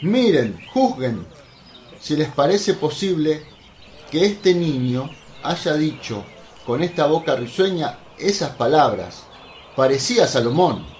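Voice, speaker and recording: An actor playing an excitable, blunt apostle in a religious radio play, effusive and exclamatory. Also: male voice, a little high, slow, slight background noise. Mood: amazed, proud.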